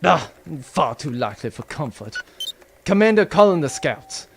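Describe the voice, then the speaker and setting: A man's voice: a little high, sing-song, moderate pace, a little hiss or hum. A man playing a pompous, exasperated villain baron in a comic audio drama, with an imperious, grandiose manner.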